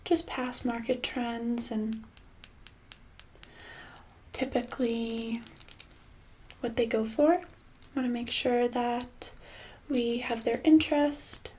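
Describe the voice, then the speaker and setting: A woman playing a controlling, passive-aggressive boss in a soft-spoken ASMR roleplay, breathy and slipping into whispers, condescending and casually menacing. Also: on the high side, fairly even intonation, slow pace, long pauses, a little hiss or hum. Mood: bossy, threatening. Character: controlling, passive-aggressive female boss holding an employee hostage.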